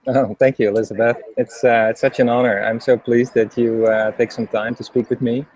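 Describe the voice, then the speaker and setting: A middle-aged man from the Netherlands with a Dutch accent, speaking as an interview guest in a hesitant way with many pauses and restarts. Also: mid-range voice, level tone, a little mellow, a little hiss or hum, rough recording. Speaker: guest, HeartMath trainer. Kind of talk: casual interview talk.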